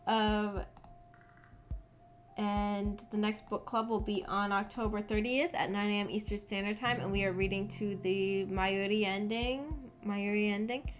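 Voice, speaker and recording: A woman's voice: medium pitch, fairly even intonation, unhurried. A young adult woman chatting casually on a livestream, rambling and thinking aloud as she answers her viewers. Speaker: streamer, host of a visual novel book club. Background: background music.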